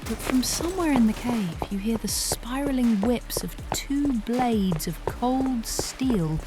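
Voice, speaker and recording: A woman's voice: fairly high pitch, expressive, takes it slowly, music in the background, rough recording. A woman narrator reading scripted second-person fantasy in a dramatic, suspenseful ASMR storytelling style.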